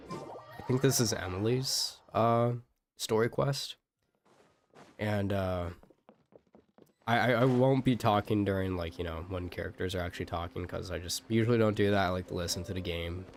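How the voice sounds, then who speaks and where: A man's voice: fairly low pitch, moderate pace, slight background noise. A man streaming a game, chatting casually and thinking aloud in a rambling way. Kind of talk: casual live gameplay commentary. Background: game music.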